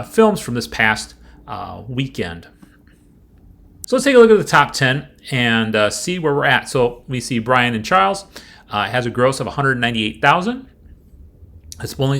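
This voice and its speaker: Male commentator, medium pitch, informal explainer delivery, halting and searching for words. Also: fairly bright tone. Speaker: screenwriting coach hosting a show.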